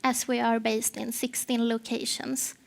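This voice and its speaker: A Swedish-accented woman presents at a conference in English, her second language. Her voice sits high and her delivery is slow, pausing often to search for words. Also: little pitch movement, gravelly. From Sweden. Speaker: conference speaker, HR partner.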